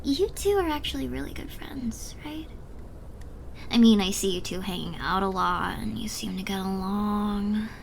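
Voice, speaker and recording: A woman's voice: medium pitch, normal speed, gravelly, a little hiss or hum, lightly acted. A young woman playing a drunk, giddy, smitten rival in an ASMR roleplay, rambling and stammering as she trails off. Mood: rambling, hesitant.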